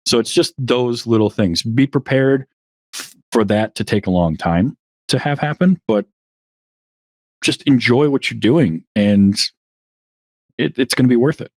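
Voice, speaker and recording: A man guesting on a podcast, chatting in a relaxed way with plenty of fillers. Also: medium pitch, unhurried, long pauses, no room sound. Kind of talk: casual interview chat.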